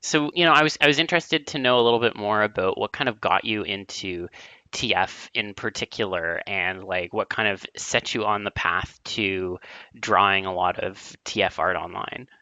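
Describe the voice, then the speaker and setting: A man's voice: lowish voice, moderate pace, sharp tone, clean tone, no echo, poor audio. A man hosting a casual podcast, upbeat and encouraging toward his guest. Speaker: podcast host.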